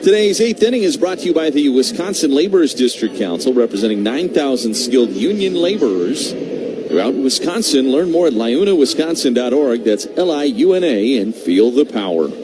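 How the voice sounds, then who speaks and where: A man's voice: medium pitch, sing-song, moderate pace. A man doing live radio baseball play-by-play in a wry, conversational way. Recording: noisy stadium broadcast feed.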